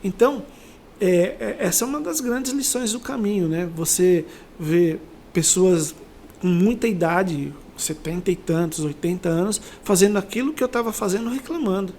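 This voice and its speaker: A man's voice: high-pitched, gravelly, darkish tone. Brazilian man telling a story, noisy background. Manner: conversational, reflective, often seeks agreement with tag questions. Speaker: interview guest, company sales director. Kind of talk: personal motivational storytelling in a podcast interview.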